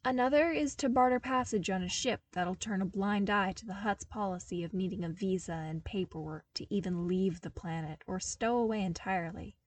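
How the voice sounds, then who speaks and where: A woman voicing an earnest, wry young man from a harsh desert world in a story reading, explaining with animated emphasis. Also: medium pitch, a little fast, few pauses, close to an everyday voice. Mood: sardonic, animated.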